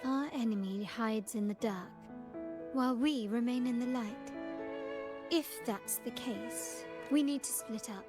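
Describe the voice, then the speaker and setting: A woman voicing a resolute, composed noblewoman in a fantasy video game, speaking in a formal, measured, assured way. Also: a little high, lightly acted. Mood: determined, composed. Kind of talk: scripted video game voice acting. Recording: game music with strings behind the voice. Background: background music with violin.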